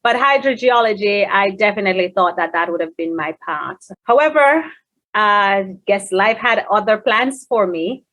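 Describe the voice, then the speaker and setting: A woman's voice: mid-range voice, lively intonation, moderate pace, fairly bright tone. A woman with a Jamaican accent, answering conversationally as an interview guest. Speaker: guest, technology company founder.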